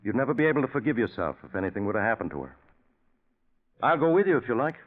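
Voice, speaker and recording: An actor playing a grave, concerned friend who believes in omens in a 1940s radio suspense drama, speaking in a solemn, earnest, deliberate way. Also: male voice, medium pitch, sing-song, lightly acted. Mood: urgent, foreboding. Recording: tinny, narrow vintage 1940s radio recording.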